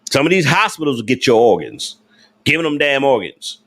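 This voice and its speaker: A man's voice: medium pitch, sing-song, a little fast, fairly bright tone. Guy on a live stream, slangy and emphatic, keeps hammering the same question at chat. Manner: slangy informal speech. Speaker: livestream host. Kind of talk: casual livestream talk to viewers.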